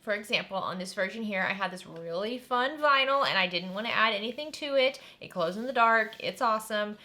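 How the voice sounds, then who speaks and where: Female host, friendly craft-tutorial read: bright tone, mid pitch, chatty step-by-step explaining. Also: lively intonation, brisk. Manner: chatty and upbeat, narrating while working.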